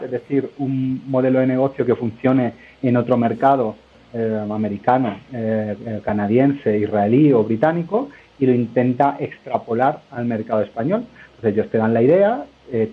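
A man's voice: medium pitch, slightly rough. A man, a guest entrepreneur answering interview questions in a loose, rambling way full of hesitations. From Spain. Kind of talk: conversational interview. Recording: narrow phone-line sound.

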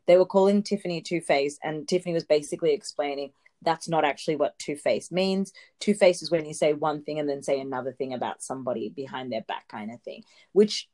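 Chatty woman with a very low voice. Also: brisk, few pauses, warm tone. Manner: chatty, laughs often, long explanatory turns. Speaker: podcast co-host. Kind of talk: casual chat recapping reality TV.

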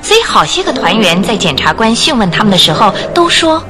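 A woman narrating a radio detective drama in a dramatic, expressive storytelling style from a script. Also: fairly high pitch, brisk. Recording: music under the voice.

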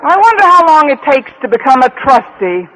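An actress playing a wry, quick-witted high school teacher in an old radio comedy, tossing out dry wisecracks. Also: female voice, sing-song, a loud crowd. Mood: mock formal. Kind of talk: 1940s-50s radio comedy acting. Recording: slightly noisy old radio recording.

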